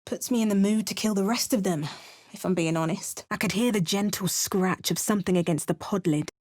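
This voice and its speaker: A woman's voice: medium pitch, fairly quick, a little mellow, natural voice. A woman voicing a wry, battle-hardened adult adventurer who makes dry, sardonic asides and narrates her journey. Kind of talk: video game character barks and narration.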